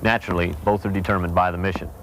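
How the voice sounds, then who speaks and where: Low-voiced man over clear background noise. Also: little pitch movement, rapid-fire, a bit bright, low-quality recording. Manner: formal, explanatory. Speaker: military aviation presenter. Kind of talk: scripted instructional presentation.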